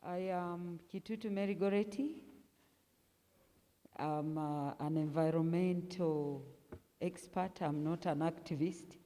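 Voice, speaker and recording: A middle-aged woman minister introducing herself formally and deliberately on a panel. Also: on the low side, dark tone, long reverb tail.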